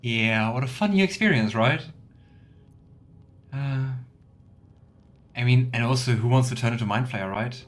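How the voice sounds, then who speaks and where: A man's voice: mid-range voice, unhurried, pauses often. A man giving casual let's play commentary in a chatty, playful way, with rambling asides. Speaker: let's player.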